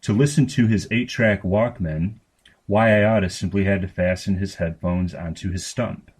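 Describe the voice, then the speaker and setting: Low, husky-voiced man reading a story. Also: fairly even intonation, unhurried, a little mellow. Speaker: story reader. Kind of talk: deadpan scripted narration of grotesque fiction.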